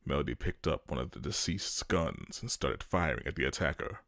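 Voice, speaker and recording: Man, dramatic scripted narration, deep voice, expressive pitch movement, dry recording. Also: fairly quick, creaky, no echo.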